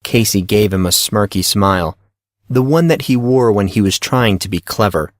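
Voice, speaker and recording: A man's voice: on the low side, normal speed, no room sound, studio quality. A man reading scripted fiction as an audiobook narrator.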